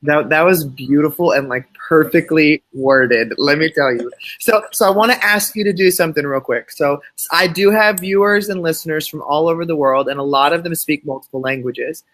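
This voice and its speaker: A man's voice: a little high, fairly bright tone. A man hosting an interview show, talking with enthusiasm and often repeating his first words as he starts a sentence. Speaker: show host. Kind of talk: casual interview talk.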